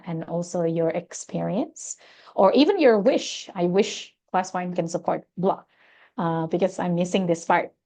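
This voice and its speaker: A woman whose first language is Indonesian presents a teaching webinar, speaking in English with many hesitant fillers as she explains software features. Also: on the low side.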